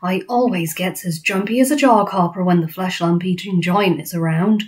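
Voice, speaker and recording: A woman's voice: fairly low pitch, normal speed, a little mellow, lightly acted. A woman voicing a kindly, eccentric male giant in a storybook, nervous, with quaint muddled grammar and invented words.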